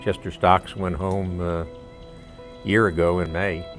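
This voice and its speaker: A man in his eighties telling a story in a relaxed documentary interview. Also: deep voice, a little slow, faint music behind. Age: 80s. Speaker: veteran telling the group's story.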